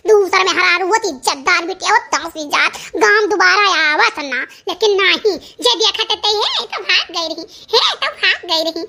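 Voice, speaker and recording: Squeaky high cartoon village woman, awadhi accent, sharp tongue, super bright, repeats herself. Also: gravelly, exaggerated voice. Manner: scolding, emphatic, repeats phrases. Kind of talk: cartoon comedy dialogue in rural dialect.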